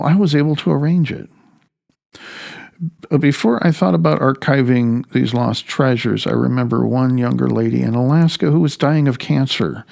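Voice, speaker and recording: A man with a gravelly voice narrates his own nonfiction audiobook, reading a little haltingly with small stumbles and self-corrections. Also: mid-range voice, darkish tone.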